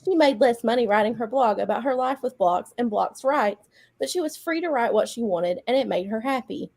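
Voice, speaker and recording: A woman's voice: fairly high pitch, animated, fairly quick, vocal fry, a little mellow. A woman giving a dramatic reading of a story, mixed with casual chat among friends. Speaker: guest reader on a live show.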